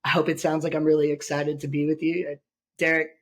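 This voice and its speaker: A man hosting a podcast, hoarse and raspy from being sick, talking in a rambling, joking way with many fillers. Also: on the high side, level tone, fast. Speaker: podcast host. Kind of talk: casual podcast chat.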